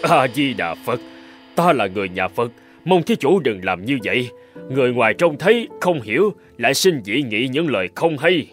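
A man voicing a kindly, upright Buddhist monk with a formal, earnest, courteous manner in an animated folk tale. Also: medium pitch, normal speed, faint music behind, lightly acted. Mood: flustered.

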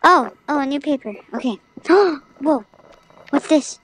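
A goofy game streamer with a very high, womanlike voice-changed sound. The livestream chatter is exclamatory and comes slowly, in short bursts. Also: pushed and bright, poor audio. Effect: high-pitched voice changer.

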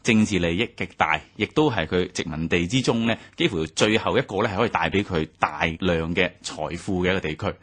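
A man explaining in an animated, conversational way on a radio discussion show. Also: sits low, brisk, hardly any pauses, slightly rough. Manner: animated, explanatory, many fillers. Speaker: radio commentator. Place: radio studio.

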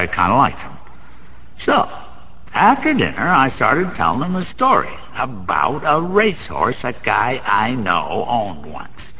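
A bragging, lonely small-time gambler with an American accent in a radio play. His voice is bright and gravelly and swings up and down as he rambles through slangy wisecracks. It rings in a long reverb. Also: lowish voice, exaggerated voice. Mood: boastful, animated. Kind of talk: theatrical radio-play monologue. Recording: long room reverb, faint noise.

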